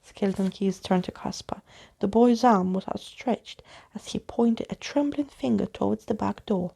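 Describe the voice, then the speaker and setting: A woman reading a story aloud as a soft-spoken, unhurried and expressive ASMR storyteller. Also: mid-range voice, creaky, breathy edge.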